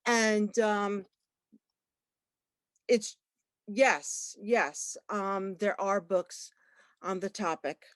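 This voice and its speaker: Woman, librarian, talking at an online launch, halting with fillers, dry close sound. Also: medium pitch, fairly even intonation, a bit bright, no echo. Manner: halting, many fillers and restarts. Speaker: librarian and researcher. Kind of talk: online book launch talk. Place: online video call.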